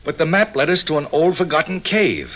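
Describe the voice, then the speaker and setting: A frightened, earnest young man in a vintage radio crime drama. He is agitated and melodramatic, with wide swings in pitch. The sound is dull, as on an old broadcast. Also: lively intonation, brisk. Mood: earnest, troubled. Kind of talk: 1940s radio drama acting. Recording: muffled old radio recording.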